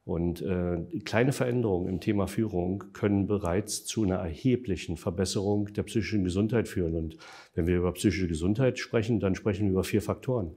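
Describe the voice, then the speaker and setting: A man answering interview questions in a measured, factual way, with frequent hesitation fillers. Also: sits low, mellow, husky. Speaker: interview guest, developer of an online tool.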